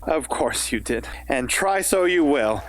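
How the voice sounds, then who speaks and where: A man's voice: medium pitch, expressive, unhurried, lightly acted, low-quality recording. A man playing a showy, jovial master of ceremonies in a radio drama, with theatrical announcer delivery. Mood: showy, coaxing. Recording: loud crowd and background noise.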